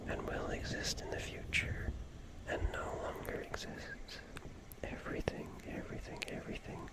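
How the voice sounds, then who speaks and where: Very slow, whispering ASMR streamer. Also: low-quality recording. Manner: musing, halting, drawn-out phrases.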